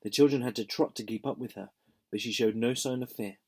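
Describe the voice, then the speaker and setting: A slightly hoarse young man reading a children's book aloud in a friendly, gentle manner. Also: medium pitch, brisk. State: slightly hoarse from a sore throat.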